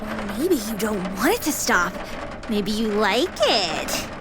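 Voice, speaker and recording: A woman's voice: mid-range voice, very animated, takes it slowly, music in the background. A woman playing a teasing, sassy young female AI companion in a video game, with a playful, mock-formal manner.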